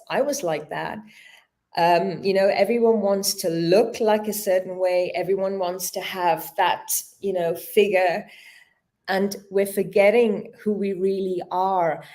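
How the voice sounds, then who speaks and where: A woman's voice: medium pitch, fairly even intonation, measured pace, mellow. A woman in her fifties, a yoga teacher speaking as a guest in a casual interview, earnest and reflective, her speech a little clumsy from a dental injection. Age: 50s. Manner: slightly stumbling, searching for words. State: mouth numb from dental injection.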